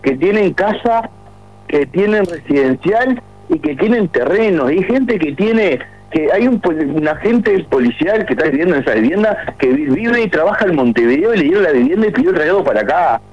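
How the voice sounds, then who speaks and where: A man's voice: a little high, animated, brisk. A man in his forties with a Uruguayan accent, calling a radio show to complain at length, with many restarts. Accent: Uruguayan Spanish. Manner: aggrieved, rambling with many restarts. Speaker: caller. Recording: muffled phone line on radio.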